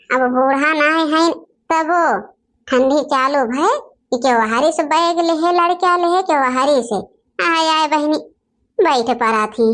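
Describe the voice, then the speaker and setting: A woman voicing grumbling, gossipy village sisters-in-law in a cartoon, speaking with an Awadhi accent and a drawn-out delivery. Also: high-pitched, fairly bright tone. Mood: complaining. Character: scheming, complaining village women, sisters-in-law in family squabble. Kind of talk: cartoon dialogue in rural dialect.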